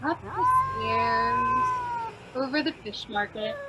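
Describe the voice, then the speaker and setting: A woman's voice: sits high, sing-song, takes it slowly, highs cut off, very noisy, exaggerated voice. A woman playing a scatterbrained, chatty, eager young lady reporter, earnest and theatrical, in old-time radio crime comedy style. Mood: breezy, oblivious.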